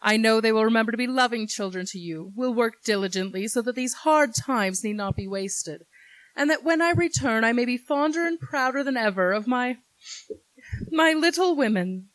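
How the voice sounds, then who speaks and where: A woman's voice: fairly high pitch, animated, normal speed, a bit bright, no echo, low-quality recording. A woman playing a loving, caring mother with a tender manner in a 1940s-style radio drama.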